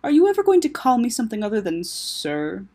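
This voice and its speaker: A woman's voice: on the high side, wildly swooping pitch, fairly quick, dark tone, husky, pitched above the performer's own voice, exaggerated voice. A woman voicing a polite, earnest eight-year-old boy in a story reading.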